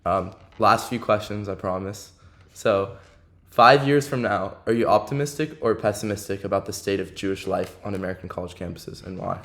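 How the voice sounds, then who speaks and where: Casting: young male podcast host, lowish voice, polite and steady as he puts questions to a guest. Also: normal speed. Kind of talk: interview questions.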